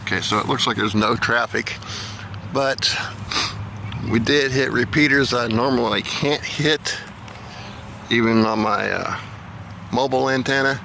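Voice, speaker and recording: A man's voice: medium pitch, unhurried, gravelly, long pauses, noisy background. A man doing casual amateur radio test calls and narration outdoors. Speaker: amateur radio operator and vlogger. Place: outdoors in a local park.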